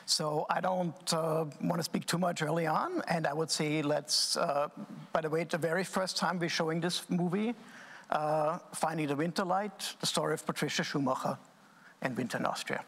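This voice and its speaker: A man opens a talk with formal, somewhat hesitant welcoming remarks. His voice is a touch higher than usual and gravelly. The sound has a long, echoing reverb. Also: steady tone, normal speed, a bit bright. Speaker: host and interviewer. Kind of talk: opening remarks at an online talk.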